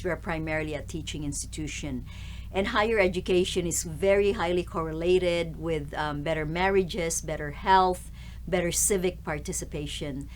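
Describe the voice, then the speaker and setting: Low-voiced woman with measured answers. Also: a little hiss or hum. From Philippines. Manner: measured, articulate, with fillers. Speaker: university president. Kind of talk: interview answers.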